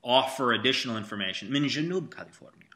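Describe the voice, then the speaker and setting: A man's voice: mid-range voice, expressive, rapid-fire, bright, forceful tone. A man teaching a student Arabic in a one-on-one lesson, patiently prompting him and repeating his praise.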